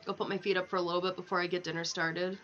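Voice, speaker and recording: A woman's voice: on the low side, flat delivery, racing, a bit bright. Woman streamer, dull noisy lo-fi mic. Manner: thinking aloud, muttering to herself. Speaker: livestreamer. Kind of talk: casual chat while playing a video game. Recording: dull lo-fi mic, clear background noise.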